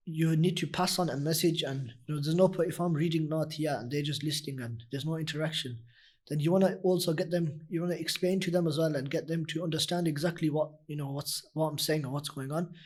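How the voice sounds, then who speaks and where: A young man with a husky, deep voice, a nasheed reciter talking earnestly in a casual interview, full of "you know" fillers. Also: a little mellow. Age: young adult. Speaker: nasheed artist guest.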